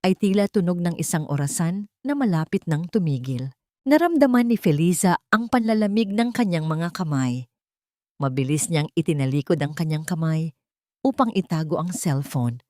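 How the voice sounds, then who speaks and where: Female audiobook narrator, Filipino accent, lowish register, scripted melodrama, dry sound. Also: darkish tone, dry recording. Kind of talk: dramatic scripted story narration.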